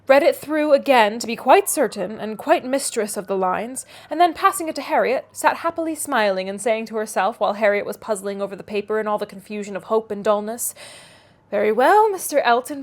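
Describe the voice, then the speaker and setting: A woman with a notably high voice reads aloud from a classic novel. Her delivery is that of an expressive storyteller, narrating literary prose and verse. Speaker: reader.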